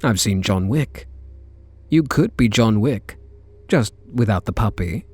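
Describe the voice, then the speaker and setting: A British man playing a charming, teasing kidnapper who is softening toward his captive, in an intimate ASMR roleplay. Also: on the low side, expressive, measured pace, slightly rough, no room sound. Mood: teasing, flirtatious. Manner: teasing, flirtatious, murmuring pet names.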